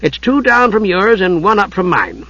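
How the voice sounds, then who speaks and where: High, squeezed, childlike little kender. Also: male voice, expressive, brisk, fairly bright tone, slightly rough, no echo, highs cut off, heavily acted. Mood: chatty, cheerful. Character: small cheerful childlike male kender, chatty and guileless.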